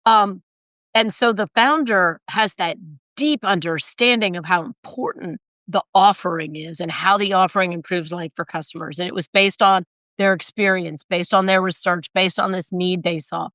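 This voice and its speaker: A hoarse woman with a low, raspy voice speaks briskly and with emphasis, acting out the voices she quotes. The sound is close and dry, with no room echo. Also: fairly bright tone. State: hoarse, recovering from illness. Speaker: guest, sales consultant and author. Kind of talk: conversational interview.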